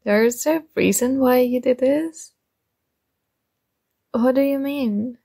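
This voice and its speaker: A shy, nerdy young female classmate, acted by a woman in an ASMR romance roleplay, talking very slowly with halting awkwardness. Her voice sits a little high with a creaky edge. She sounds flustered and smitten, in a close, dry recording. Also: warm tone, no echo, full character voice. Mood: flustered, embarrassed.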